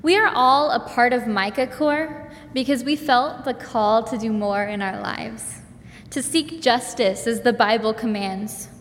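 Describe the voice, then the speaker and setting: A young woman from Kansas, a student intern, reading a prepared church announcement in a clear, bright way. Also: a little high, a little slow. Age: young adult. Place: church sanctuary. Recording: reverberant hall, faint noise.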